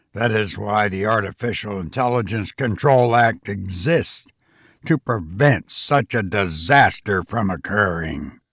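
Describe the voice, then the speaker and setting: A man's voice: lowish voice, a bit bright, slightly rough, no room sound, clean studio sound, heavily acted. A man voicing a confident young male prosecutor, grave and accusing, in formal audiobook oratory.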